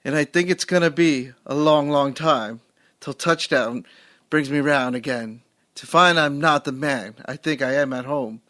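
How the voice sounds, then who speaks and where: A young man doing a mock-dramatic spoken word reading on a podcast, drawing out every line slowly. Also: fairly high pitch, fairly bright tone, no room sound. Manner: slow, drawn-out recitation. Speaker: podcast co-host.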